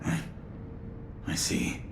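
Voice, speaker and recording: A man playing a stoic, loyal shinobi in a video game cutscene, speaking tersely with deadpan restraint. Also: very slow, in a whisper. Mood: restrained, grave.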